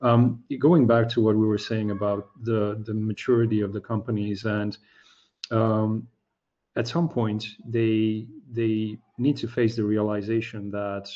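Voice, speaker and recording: Male co-host from Slovakia, native Slovak, flat low voice, lo-fi sound, loose thinking-aloud delivery. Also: measured pace. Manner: thinks aloud with frequent restarts. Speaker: podcast co-host. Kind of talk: casual discussion.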